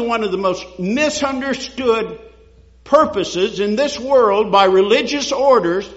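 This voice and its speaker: A man's voice: sits high, fairly bright tone, highs cut off. A man preaching a sermon, reading scripture aloud and building emphasis on key phrases. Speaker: pastor.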